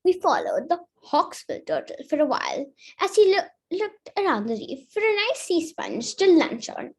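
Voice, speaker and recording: A woman with a high-pitched voice reads a picture book aloud for children. Her intonation moves up and down in a lively way. She reads carefully and sometimes stumbles over a word. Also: moderate pace. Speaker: story reader.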